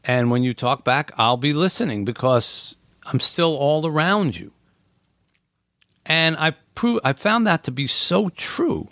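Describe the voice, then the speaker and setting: A man's voice: a little high, animated, measured pace, fairly bright tone, no room sound, clean studio sound. A middle-aged man, a podcast guest, talking in an earnest, thoughtful way with frequent "you know" fillers. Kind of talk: reflective casual conversation.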